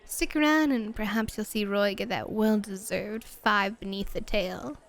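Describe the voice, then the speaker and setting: A woman's voice: medium pitch, a little slow, vocal fry. A woman playing a flirty, teasing, self-assured club hostess in an ASMR roleplay. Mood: gracious.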